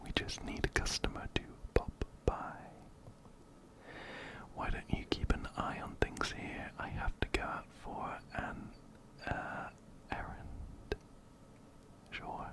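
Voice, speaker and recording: A man whispering softly right up close to the microphone in an ASMR game playthrough, unhurried and repeating phrases as he reads dialogue aloud. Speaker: video game streamer and ASMR creator. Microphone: close-up binaural microphone, ear to ear.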